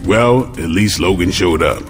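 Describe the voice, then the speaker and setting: A man's voice: deep voice, a little slow. A man playing a composed, fair-minded superhero leader in a video game, recorded with a hall-like reverb and music behind. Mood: reflective. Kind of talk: video game cutscene acting.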